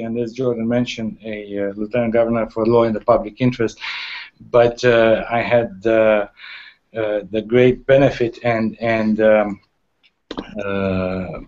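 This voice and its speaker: A young man moderates a webinar panel, pausing often on uh between phrases. His voice is mid-pitched and ordinary. The sound is muffled and lo-fi, from a video call. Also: darkish tone. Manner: halting, many uh fillers. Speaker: law student moderating a panel. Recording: dull lo-fi video call audio.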